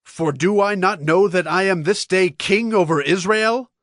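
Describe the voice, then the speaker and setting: A man's voice: high-pitched, measured pace, a bit bright, no echo, studio quality. A man playing a passionate, commanding ancient king in a dramatized scripture, declaiming with emotion. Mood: indignant authority. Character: grieving, commanding king of Israel, passionate father. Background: music.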